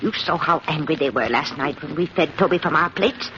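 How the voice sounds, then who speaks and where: An actress playing a firm, suspicious, determined old aunt in a 1950s radio drama. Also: female voice, low-pitched, lively intonation, fairly quick, pushed and bright. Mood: grimly determined. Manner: firm, commanding, grave. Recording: scratchy, muffled 1950s radio recording.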